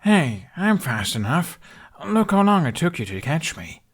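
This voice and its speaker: A man voicing a scrawny teenage boy who rides dragons, playful and grumbling, with a halting, whiny delivery, in an audiobook. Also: a little high, sing-song, husky, voice pushed up, full character voice. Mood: playful protest.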